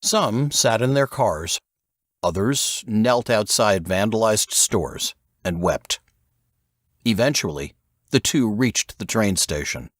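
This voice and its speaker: A man's voice: lowish voice, expressive, slow, no echo, clean studio sound. A man reading a thriller novel aloud as scripted narration, with dramatic expression. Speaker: audiobook narrator.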